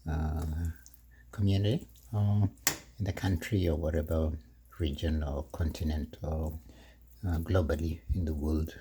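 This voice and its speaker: Man, middle-aged, very low voice, meandering home cooking vlog talk, clear background hiss and clicks. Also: fairly even intonation. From Kenya. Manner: slow, rambling, with many fillers and soft laughs. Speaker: vlogger. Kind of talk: casual cooking vlog monologue. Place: home kitchen.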